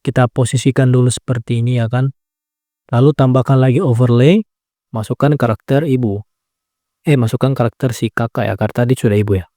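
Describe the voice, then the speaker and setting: A man talks a viewer through an app tutorial in a casual, unhurried way. His voice is mellow and warm, and the recording is close and dry. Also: medium pitch, dry recording. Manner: relaxed step-by-step explaining, frequent "nah" and "ya kan" tags. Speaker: tutorial creator.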